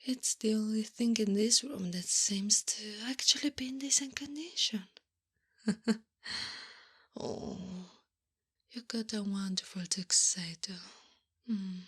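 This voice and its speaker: A woman playing a doting, teasing, bossy wife who mothers her husband, in a soft, often whispered ASMR roleplay with coaxing pet names and gentle scolding. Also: medium pitch, takes it slowly, pauses often, breathy edge. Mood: fond. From Italy.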